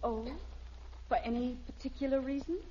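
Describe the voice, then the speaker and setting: A woman's voice: high-pitched, fairly even intonation, normal speed, dark tone, natural voice. A woman playing a polite, composed young American saleswoman in a radio comedy. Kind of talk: 1950s radio sitcom acting. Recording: muffled, very noisy old radio recording.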